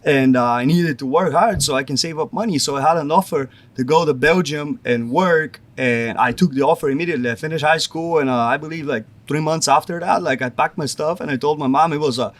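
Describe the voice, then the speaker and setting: A man's voice: on the high side, fairly quick, few pauses. A young man from Bulgaria, a pro wrestler, chatting casually in an interview and leaning on "like" a lot. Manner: rambling, says "like" often.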